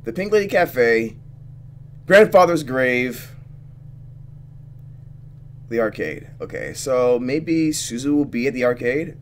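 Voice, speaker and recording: A man playing a visual novel, reading the text aloud and thinking out loud expressively as he goes. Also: mid-range voice, a little hiss or hum. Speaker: let's player reacting to a visual novel. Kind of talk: gaming commentary mixed with reading game text aloud.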